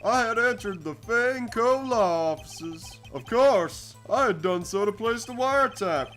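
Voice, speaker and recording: Casting: flamboyant game villain, a pompous executive, nervy, very slow and drawn-out, music behind. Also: male voice, high voice, lively intonation, fairly bright tone. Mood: pompous, testifying. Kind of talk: fan-dubbed courtroom game voice acting.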